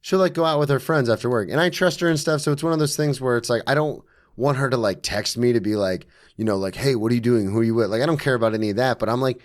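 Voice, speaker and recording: A podcast host in his thirties talks quickly in loose chat and keeps slipping into rambling asides. His voice sits at an ordinary middle pitch for a man.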